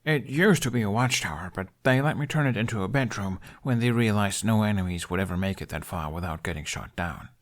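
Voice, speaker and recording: A man's voice: lowish voice, fairly quick, hardly any pauses, natural voice. A man voicing an earnest, dryly witty young man in a storybook reading. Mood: wistful.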